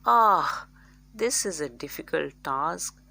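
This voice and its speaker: A woman's voice: fairly low pitch, expressive, slow pace, vocal fry, pushed and bright, pitched above the performer's own voice, heavily acted. A woman voicing a male lion king in a children's story, deliberating in a self-assured way. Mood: pondering. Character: male lion king weighing a difficult decision.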